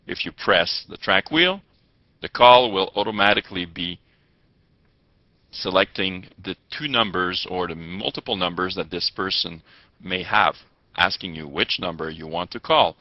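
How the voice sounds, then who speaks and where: A man giving step-by-step tutorial narration as an instructor, with halting delivery and formal wording. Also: low voice. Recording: muffled, echoey lo-fi room recording.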